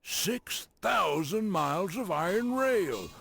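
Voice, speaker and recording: An actor playing a crusty, teasing old American railroad man, narrating game cutscenes in a folksy, theatrical way with a gravelly voice. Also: high-pitched, animated, slow, a bit bright, slight background noise, exaggerated voice. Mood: wry, folksy. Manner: folksy, scolding, colorful old-time slang.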